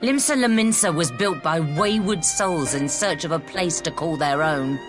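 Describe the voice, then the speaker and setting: An actress playing a proud, defiant leader of a seafaring nation in a fantasy video game, firm and formal in archaic phrasing. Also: female voice, low voice, animated, moderate pace, music in the background.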